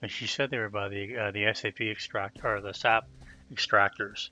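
A man's voice: lowish voice, fairly even intonation, moderate pace, pushed and bright, highs cut off. A man chatting casually with friends while playing a game, thinking aloud as he goes. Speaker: gamer. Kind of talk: casual gaming chat.

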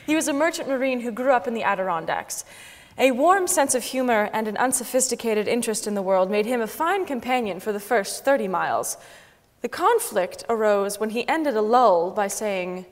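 Young woman, bit high pitched, reading a memoir out dramatically in a big echoing hall. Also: animated, normal speed. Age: young adult. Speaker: dramatic reader. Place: large wood-panelled concert hall stage, seated. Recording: concert hall stage with long reverb.

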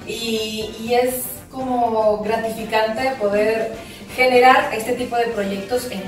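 A woman's voice: on the high side, steady tone, takes it slowly, faint music behind. A woman in her thirties from Ecuador, an actress talking in an interview in an enthusiastic, playful way. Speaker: musical theatre actress and producer. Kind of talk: casual interview chat. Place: office room with glass wall, seated on chairs. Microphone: far camera mic. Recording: very echoey room from far off.

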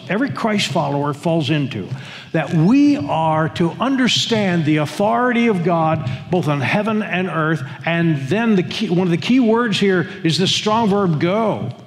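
Older male pastor, higher voice, earnest repetitive sermon delivery, long church-hall reverb. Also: lively intonation, normal speed, soft background music. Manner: earnest, repeats words and phrases, leads congregation reading. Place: church sanctuary.

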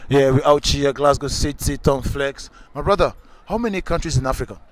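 Fast, teasing street interviewer man. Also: mid-range voice. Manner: teasing, playful, repeats the question quickly. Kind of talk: street vox pop, quizzing passers-by. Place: busy city shopping street outdoors. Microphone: handheld mic held out to passers-by.

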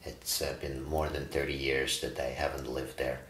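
A man in his forties from Ukraine, a breathwork teacher, answering interview questions in a calm, reflective way with frequent fillers. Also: extremely low pitch, normal speed, slight background noise. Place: bright studio room, indoors. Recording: roomy studio echo.

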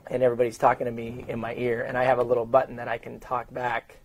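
A middle-aged American man with a medium-pitched voice speaks in a conversational interview style. He tells anecdotes and walks through his announcing work step by step, voicing quoted exchanges as he goes. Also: monotone, fast talker, rough recording. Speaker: sports public address announcer being interviewed. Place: open indoor lobby studio at a desk.

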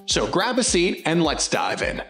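A man narrating a scripted product comparison in a formal, evenly read way. His voice sits high and swings widely in pitch. The recording carries a roomy echo with faint music underneath. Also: huge pitch swings, measured pace, fairly bright tone. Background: faint background music.

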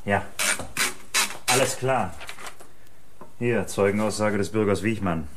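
A police investigator in a radio crime drama. The voice is low, with a brisk, explanatory, matter-of-fact delivery. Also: male voice, heavy background noise. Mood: explaining.

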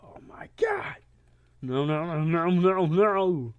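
Stuffy-nosed man, gamer commentary, laughs a lot, swooping voice, unhurried delivery. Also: on the high side, animated, narrow, tinny audio. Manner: laughs often, yells at failures. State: congested, stuffy-sounding. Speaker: gameplay commentator. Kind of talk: casual let's-play commentary.

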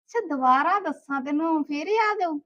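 A woman's voice: high voice, expressive, normal speed, fairly bright tone, dry recording, highs cut off. A woman acting a lonely, lovestruck mistress of a household in a short film, with a Punjabi accent. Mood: coaxing, longing.

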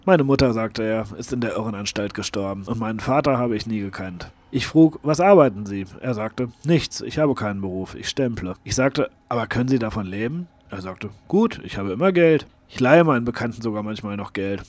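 A man reads literary prose aloud in a low voice. His delivery is expressive and emphatic, as a first-person narrator. The sound is dry and close, with little room around it. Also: a little fast, few pauses, no room sound. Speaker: audiobook reader.

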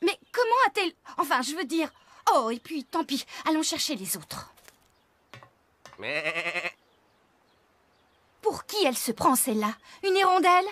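A woman in a French children's cartoon dub playing a brisk, caring woman farmer who expressively directs others. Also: high voice, lively intonation, a bit bright. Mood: puzzled, exasperated.

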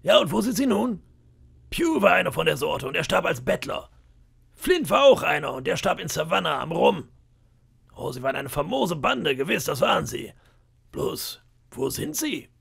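A man's voice: high voice, sing-song, moderate pace, vocal fry, fairly bright tone, slightly rough, pitched above the performer's own voice, heavily acted. A man voicing a cunning old pirate cook in an audiobook, wheedling and boastful with flashes of menace. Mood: mocking. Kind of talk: audiobook character voice.

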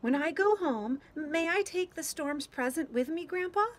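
A woman's voice: high-pitched, lively intonation, moderate pace, voice pushed up. A woman voicing an earnest, eager young girl in a picture book read-aloud. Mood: hopeful, asking. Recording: clear outdoor background noise.

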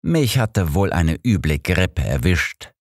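A man's voice: sits low, very animated, takes it slowly, husky, dry recording, clean studio sound, exaggerated voice. A man voicing a grim, dignified elderly pastor in an audiobook, formal and easily affronted. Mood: defensive, formal.

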